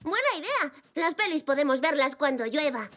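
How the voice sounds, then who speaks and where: Young cartoon zebra boy, five, high and lively, eager and curious, over prominent music. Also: normal speed. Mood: enthusiastic. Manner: excitable, earnest. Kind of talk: children's cartoon dub. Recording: music behind the voice.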